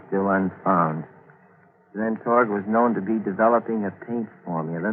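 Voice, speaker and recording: An actor playing a cold, scheming young murderer in a 1940s radio drama, brooding and insistent. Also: male voice, sits low, narrow, tinny audio, natural voice. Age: young adult. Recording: thin, noisy 1940s radio recording.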